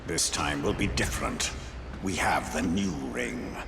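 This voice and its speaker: An actor playing a grim, determined male ranger in a video game, speaking gravely and with measure. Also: low voice, unhurried, music in the background. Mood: resolute. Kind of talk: video game character acting. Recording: clear background noise and ambience.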